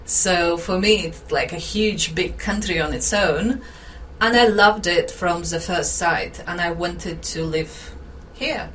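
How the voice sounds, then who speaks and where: A middle-aged woman from Latvia with a Latvian accent, an interview guest speaking earnestly with halting phrasing and nervous laughs. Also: on the low side, fairly bright tone, distant mic, a little hiss or hum, poor audio. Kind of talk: radio interview.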